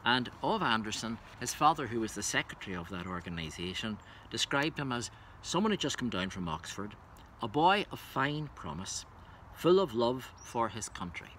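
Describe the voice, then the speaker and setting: Man, poetry reader, measured delivery, bright forceful tone, faint background noise. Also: medium pitch, big pitch swings. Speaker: reader introducing and reciting a poem. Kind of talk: informal spoken introduction and poetry recitation.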